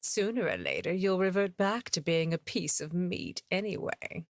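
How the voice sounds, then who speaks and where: A woman's voice: lowish voice, sing-song, normal speed, no echo. A woman playing a cold, towering veteran cave raider in an anime game, languid and dismissive, with music behind her. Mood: cold contempt. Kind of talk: anime game dub acting. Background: background music.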